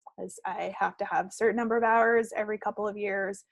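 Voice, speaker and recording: A woman's voice: medium pitch, fairly even intonation, a little fast, vocal fry, no echo. A woman co-hosting a podcast, chatting casually in a rambling, self-deprecating way. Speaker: podcast co-host. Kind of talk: casual chat about knitting projects.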